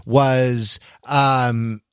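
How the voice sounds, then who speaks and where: Halting man in a dry, close recording. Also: mid-range voice, little pitch movement, a bit bright, clean tone, dry recording. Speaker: podcast co-host. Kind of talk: casual analytical discussion.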